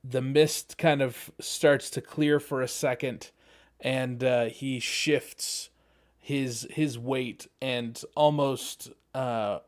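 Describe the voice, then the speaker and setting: A player in a tabletop game describing a scene, halting and full of fillers. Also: mid-range voice, fairly even intonation. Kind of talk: casual in-character play description.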